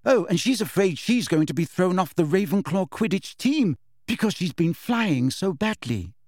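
A man voicing a brisk, know-it-all teenage girl in an audiobook, businesslike, dignified and exasperated. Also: high voice, big pitch swings, a little mellow, no room sound, studio quality, pitched above the performer's own voice. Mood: exasperated, lecturing.

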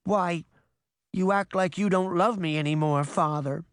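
A man's voice: high-pitched, steady tone, normal speed, smooth, no echo, clean studio sound, pitched above the performer's own voice, close to an everyday voice. A man voicing a whiny, melodramatic puppet-turned-boy who pleads and pities himself, in a storybook reading. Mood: whimpering.

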